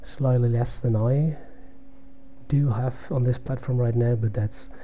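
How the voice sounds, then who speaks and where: Soft-spoken man commentating chess. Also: mid-range voice, steady tone, a little hiss or hum. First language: German. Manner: thinking aloud, halting, drops to near-whisper while calculating. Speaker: chess player commentating own game. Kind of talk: live commentary while playing online blitz chess.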